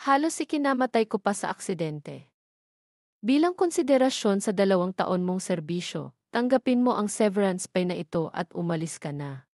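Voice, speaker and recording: A woman's voice: mid-range voice, very animated, moderate pace, darkish tone, no echo, studio quality. A woman voicing a cold, guarded young male billionaire boss, curt and commanding.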